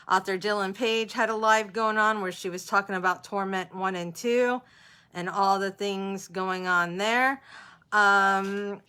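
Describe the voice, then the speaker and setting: A woman's voice: mid-range voice, pushed and bright. A woman hosting an author interview livestream, chatting casually and informally with viewers as she goes.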